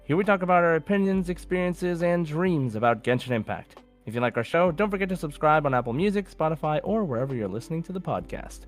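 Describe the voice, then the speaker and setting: A man's voice: on the high side, expressive, fast talker, few pauses, faint music behind. A man hosting a podcast, chatting informally and conversationally about a video game. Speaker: podcast host.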